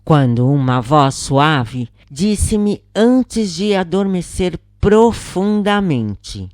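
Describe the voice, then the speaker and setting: A woman with a Brazilian accent reading a scripted first-person spiritual account in a solemn, measured manner, with deliberate pauses. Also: on the low side, big pitch swings, a little mellow, dry recording, studio quality.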